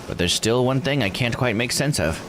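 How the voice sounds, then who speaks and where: A man voicing an earnest, grieving villager from a visual novel, in a measured delivery. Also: medium pitch, background noise, lightly acted. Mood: puzzled, solemn. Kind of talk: reading visual novel dialogue aloud. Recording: music under the voice.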